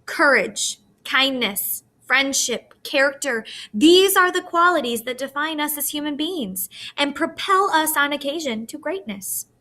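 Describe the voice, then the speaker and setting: A woman voicing a dignified male school principal giving an earnest, measured ceremonial speech in a storybook reading. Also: high-pitched, animated, sharp tone, pitched above the performer's own voice, exaggerated voice.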